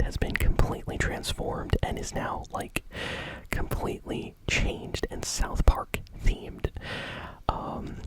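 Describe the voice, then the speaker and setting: A host whispering informal, enthusiastic video game news in an ASMR style. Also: rough recording. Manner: whispering throughout. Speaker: host of a gaming news show.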